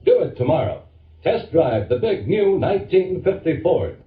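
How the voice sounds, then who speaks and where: A man's voice: a little high, sing-song, moderate pace. A man with an American accent giving an emphatic, polished 1950s radio commercial read as announcer. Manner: emphatic, polished sales delivery. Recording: tinny, distant, roomy 1950s radio recording.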